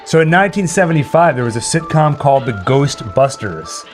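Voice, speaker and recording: A man's voice: on the high side, animated, brisk, soft background music. A man hosting a livestream party, chatting casually, reading out the chat and running trivia. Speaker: livestream party host and quizmaster.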